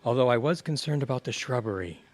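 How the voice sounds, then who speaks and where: A man's voice: mid-range voice, animated, fast, no echo, natural voice. A man playing an easygoing, wisecracking boyfriend in an audio theatre comedy, with a wry, joking manner. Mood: playful.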